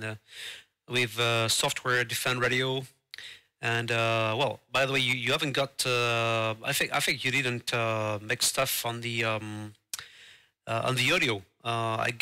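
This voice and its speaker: A man with a strong, bright edge to his clean, smooth voice speaks English with a French accent as a native French speaker. He chats as an amateur radio operator, picking his words haltingly, and the sound is close and dry. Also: medium pitch, no echo. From France. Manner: halting, hesitant phrasing. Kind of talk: casual radio contact chat.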